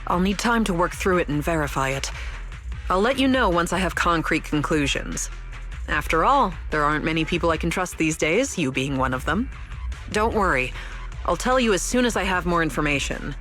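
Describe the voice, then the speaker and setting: A woman's voice: deep voice, animated, normal speed, fairly bright tone. An actress playing a playful, rebellious young woman researcher in a video game, teasing, breezy and sure of herself. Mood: confiding. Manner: teasing, breezy, self-assured. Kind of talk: scripted video game story dialogue. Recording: music behind the voice.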